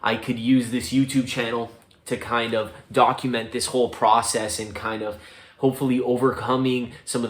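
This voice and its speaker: A man's voice: lowish voice, a bit bright, reverberant. A man with a Canadian accent talking casually and a little hesitantly, like a first-person vlog. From Mississauga, Canada. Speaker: vlogger making a first documentary.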